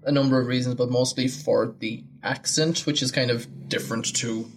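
A young Irish man from Galway chatting easily on a podcast, with a voice of middling pitch. He tells long anecdotes and slips into raised voices when he quotes other people. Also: fairly even intonation, normal speed. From Ireland. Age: 20s. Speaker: podcast co-host. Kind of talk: casual podcast chat.

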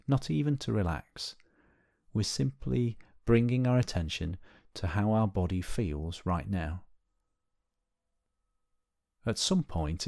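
A man with a lowish voice leads a guided meditation. He gives gentle, unhurried instructions and leaves space between phrases. The sound is very dry and close, with hardly any room around it. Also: dry recording. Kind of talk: guided body scan meditation.

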